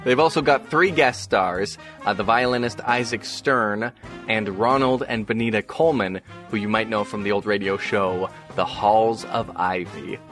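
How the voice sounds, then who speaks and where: A man's voice: on the low side, normal speed, a bit bright. A man hosting a podcast, casually introducing an old radio episode. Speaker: podcast host. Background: music.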